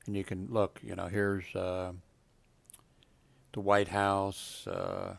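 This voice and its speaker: A gravelly-voiced man in his seventies talks through what he finds on his computer screen, drifting from point to point with plenty of "uh" and "you know". His voice sits fairly low, and the recording is close and dry, with no room sound. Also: monotone, slow pace, no echo. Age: 70s. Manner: meandering, many fillers and trailing asides. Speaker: video blogger. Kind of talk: rambling casual commentary while reading web pages. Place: home desk with computer.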